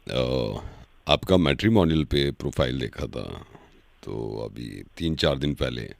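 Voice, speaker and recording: A man voicing a genial middle-aged father on a prank call, halting and avuncular as he talks. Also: extremely low pitch, lively intonation, gravelly, no room sound, pitched below the performer's own voice, exaggerated voice. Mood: genial, hesitant. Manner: slow, halting, chuckling, avuncular. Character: genial, hesitant middle-aged father seeking a match for daughter.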